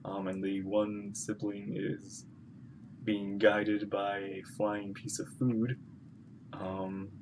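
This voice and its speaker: A woman doing casual live commentary as she plays a video game, joking and excitable. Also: a bass voice, background noise. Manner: excitable, joking, drawn-out hesitant musing. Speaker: streamer reacting to a video game. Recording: game music faintly behind.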